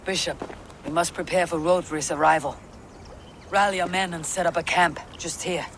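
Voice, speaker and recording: Terse, higher-voiced Viking leader. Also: male voice, fairly even intonation, vocal fry, fairly bright tone, slight background noise. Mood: impatient, commanding. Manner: terse, measured, commanding. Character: level-headed, stern Viking warrior leader. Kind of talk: video game voice acting.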